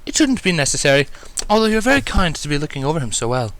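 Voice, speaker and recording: A fussy, high-society lady unicorn acted by a man with a raised, swooping pitch. She is prim and theatrical, given to dramatic pronouncements in a storybook reading. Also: very animated, fast talker, fairly bright tone, low-quality recording. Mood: prim lecturing. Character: refined, fussy, dramatic female unicorn seamstress.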